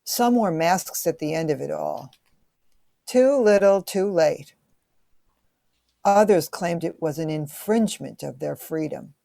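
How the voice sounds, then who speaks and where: A woman's voice: low voice, animated, unhurried, creaky, pauses often, warm tone, dry recording, studio quality, heavily acted. A woman acting in a radio-style play as a grave, indignant young woman from 1920.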